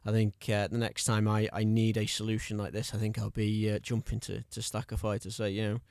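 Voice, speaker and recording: A man's voice: on the low side, flat delivery, a little fast, creaky, a little mellow, smooth, dry recording, studio quality. A man hosting a podcast interview, talking casually with many hesitations and fillers, self-deprecating. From North Wales border area. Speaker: podcast host.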